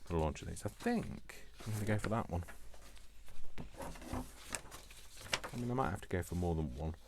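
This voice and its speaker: A man with a very low-pitched voice hosts a casual craft tutorial. He thinks aloud, playfully muttering and repeating short phrases to himself. The recording has clear background noise with clicks. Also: animated, a little slow.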